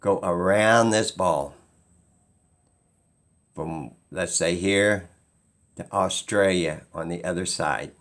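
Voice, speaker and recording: Slow-talking man with a gravelly, creaky voice. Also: low-pitched, a bit bright. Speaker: video creator. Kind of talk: unscripted opinion monologue.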